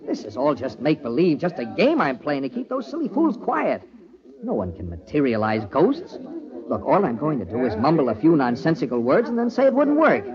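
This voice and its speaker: A man's voice: a little high, wildly swooping pitch, a little fast. An actor playing an anxious, bossy young man hiding guilt, theatrical and insistent in old radio horror style. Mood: hushed impatience. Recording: muffled old radio, crowd behind.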